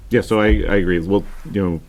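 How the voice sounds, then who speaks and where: Deep-voiced man chairing a meeting. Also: fairly even intonation, a little fast. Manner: loud, joking, conversational. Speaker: school committee chair. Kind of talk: running a public meeting.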